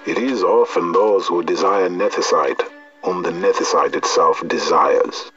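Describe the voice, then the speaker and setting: Fantasy game elder: aged, solemn, weighty, very low and unhurried. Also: male voice, fairly even intonation, fairly bright tone. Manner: slow, measured, portentous. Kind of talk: dramatic game voice acting. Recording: dull lo-fi game audio over music.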